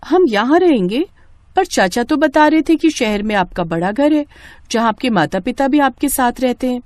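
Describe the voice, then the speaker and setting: A woman's voice: sits high, moderate pace, no echo. A woman voicing a naive, loyal young village bride in melodramatic, emphatic story dialogue. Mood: innocent, shy. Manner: emphatic, expressive.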